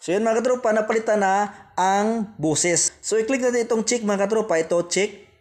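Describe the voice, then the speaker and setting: High-voiced Filipino man giving app tutorial. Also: moderate pace. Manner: repetitive, addressing viewers directly as friends. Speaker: tutorial host. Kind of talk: step-by-step app tutorial voice-over.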